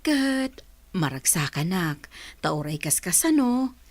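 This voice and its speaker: Woman as loving mother, lowish voice, expressive intonation, tender, encouraging, audio drama. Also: slow, dry recording, heavily acted. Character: loving, hardworking middle-aged mother. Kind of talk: Ilocano audio drama acting.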